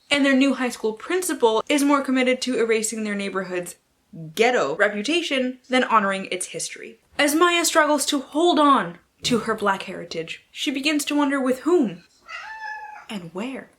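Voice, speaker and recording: A woman's voice: a little high, animated, normal speed, a bit bright. A woman book vlogger chatting casually and reading blurbs aloud in a playful, theatrical way, with slang and free swearing.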